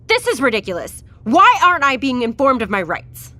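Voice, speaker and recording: A woman's voice: sits high, huge pitch swings, normal speed, pushed and bright, full character voice. A woman playing a defiant, self-justifying doctor accused of treason in an audio drama, argumentative and articulate. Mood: indignant.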